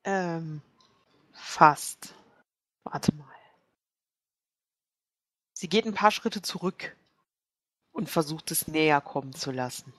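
A woman's voice: low-pitched, lively intonation, takes it slowly, pauses often, dry recording. A young woman taking part in a tabletop role-playing game, casually describing what her character does and chatting with the group.

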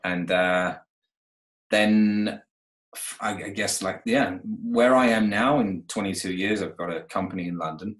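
A man's voice: deep voice, unhurried, echoey room. A man in his thirties, a guest on an interview, talking casually about his renovation company with many fillers and restarts, speaking over a video call from his home. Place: home room, indoors.

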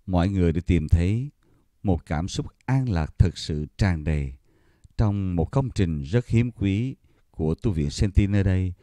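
A man narrating a pilgrimage video in a solemn, measured, reverent voice-over. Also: sits low, animated, warm tone, no echo. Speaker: documentary narrator.

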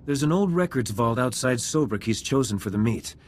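An actor playing a composed, idealistic resistance politician in a video game, measured and formal. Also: male voice, mid-range voice, expressive, slight background noise, close to an everyday voice. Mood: composed, earnest. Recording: music playing behind the voice.

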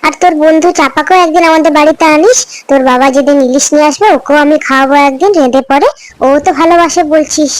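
A woman playing high-voiced fretful village mother. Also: quick pace, no room sound, lightly acted. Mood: caring. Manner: fussing, motherly.

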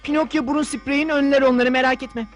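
A clever cartoon boy with a very high voice. He sounds calm, confident and matter-of-fact, over clearly audible music. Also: fairly quick. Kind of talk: dubbed cartoon acting.

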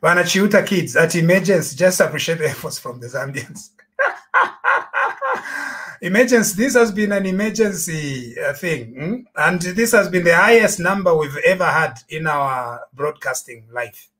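A man's voice: a little high, expressive, moderate pace, a bit bright. A middle-aged man hosting a live late-night call-in chat from a home study, thanking callers and switching between English and a local language. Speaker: host. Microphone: desk microphone in front.

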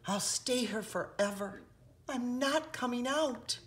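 A woman's voice: fairly high pitch, takes it slowly, fairly bright tone, voice pushed up. A woman voicing a tiny, young, easily frightened female mayfly in a storybook, earnest and emphatic. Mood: frightened, stubborn.

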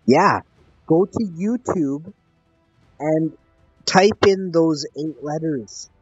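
A husky-voiced man from Canada talks upbeat and slangy as a gaming YouTuber, his voice a touch higher than most men's. He spells things out slowly and repeats himself. The audio is dull and lo-fi, with game music underneath. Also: no echo. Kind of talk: casual chat with an online gaming opponent.

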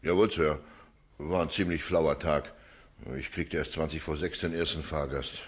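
An actor playing a plain-spoken taxi driver on the witness stand in a radio play, colloquial and halting. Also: male voice, extremely low pitch, steady tone. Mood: matter-of-fact. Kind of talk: courtroom radio play acting.

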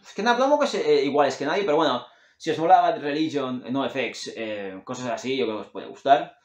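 A man in his thirties from Catalonia talks in a loose, rambling way, often correcting himself as he goes. His tinged sits at a middle pitch. The sound carries the roomy echo of a home room picked up by a desk webcam. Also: animated, fairly quick. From Catalonia, Spain. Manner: rambling, enthusiastic, self-correcting, gestures with his hands. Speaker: host recommending music. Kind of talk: casual chat.

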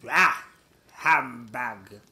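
A man's voice: mid-range voice, extremely slow, sharp tone, full character voice. An actor playing a bitter, miserly old businessman in a radio drama, curt, scornful and sarcastic. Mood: grumpy, bitter. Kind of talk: stage-style radio drama acting.

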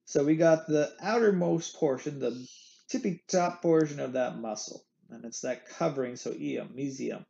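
Gravelly, playful man giving a lecture. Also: mid-range voice, normal speed, mellow, highs cut off, slight background noise. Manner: playful, jokey explainer with candy analogies. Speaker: anatomy instructor. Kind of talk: recorded slide lecture. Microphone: screen recording with webcam.

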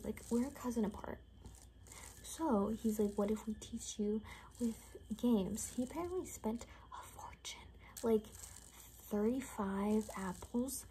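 A young woman speaking casually in a hushed, half-whispered ASMR roleplay style, close to the mic in a room. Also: a little high, rough recording. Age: young adult. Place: small furnished room indoors. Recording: noticeable background noise.

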